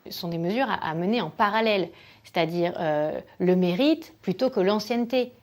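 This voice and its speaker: A woman giving a measured, argued explanation as an expert interviewee. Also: lowish voice. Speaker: economist interviewee.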